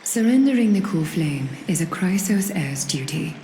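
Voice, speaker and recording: An actress playing a solemn, regal woman who guides heroes in a fantasy game, speaking in a formal, measured and grave manner. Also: fairly low pitch, lively intonation, mellow, echoey room, slight background noise, close to an everyday voice. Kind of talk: scripted fantasy game dialogue.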